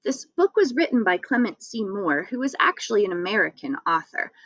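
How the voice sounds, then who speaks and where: Female children's read-aloud host, expressive and swooping in pitch, recorded dry with a slightly muffled tone. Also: a little fast, dry recording. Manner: expressive, addressing children directly.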